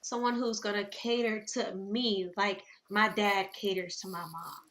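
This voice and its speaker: A woman co-hosts a casual podcast chat. Her voice is bright and forward, and she tells personal stories with animated asides and quick laughs. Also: mid-range voice. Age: 20s. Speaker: podcast co-host.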